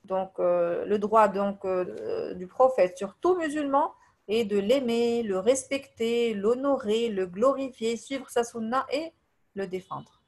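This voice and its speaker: A woman from Tunisia whose first language is Arabic, giving a religious lesson in French, hesitating often with fillers, reading translations and reciting verses quickly. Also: on the high side, a little mellow. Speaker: religious teacher. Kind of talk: religious lesson over voice messages.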